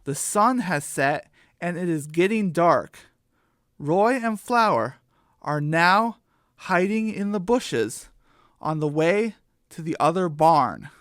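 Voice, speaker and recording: A woman's voice: lowish voice, animated, slow, dry recording, clean studio sound. A woman narrating and hosting a homemade children's radio play. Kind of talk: children's story narration and host sign-off.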